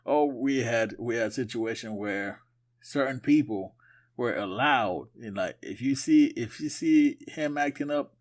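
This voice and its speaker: A man's voice: medium pitch, a little slow. A man from Haiti co-hosting a podcast, talking casually in a conversational, storytelling way. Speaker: podcast co-host. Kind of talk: casual chat.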